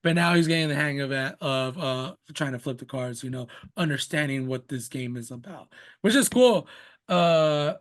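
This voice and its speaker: A young father, a native Spanish speaker, rambles easily through stories about his son. His voice sits a touch higher than most men's, and he keeps reaching for "you know" and "like" in a relaxed, unscripted way. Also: normal speed. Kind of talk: casual conversational interview.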